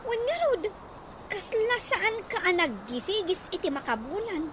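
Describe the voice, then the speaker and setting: Woman, high voice, teasing, gossipy, noisy radio drama recording. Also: very animated, moderate pace. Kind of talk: Ilocano radio comedy drama. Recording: noisy background hiss.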